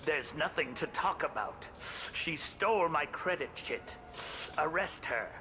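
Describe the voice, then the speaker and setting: Blustering alien trader, slow halting speech, mid-range pitch, indignant and prejudiced, game dialogue, noisy ambience. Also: male voice, lively intonation. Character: indignant, prejudiced male alien merchant accusing a thief.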